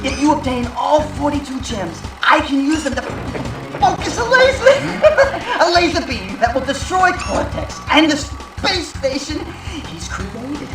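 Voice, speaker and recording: An actor playing an unhinged rival mad scientist in a video game, a man with an erratic, stammering, halting delivery. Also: very high-pitched, very animated, exaggerated voice. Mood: twitchy, menacing. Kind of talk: video game cutscene voice acting. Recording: roomy, distant, music underneath. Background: music.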